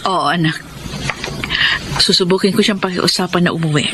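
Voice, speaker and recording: A woman's voice: lowish voice, steady tone, normal speed, background noise, close to an everyday voice. A woman voicing a devoted wife and mother hurt by her husband's cheating, in a radio drama with an emotional, pleading manner. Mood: sorrowful. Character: long-suffering wife, devoted mother, betrayed. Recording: loud music bed under voice.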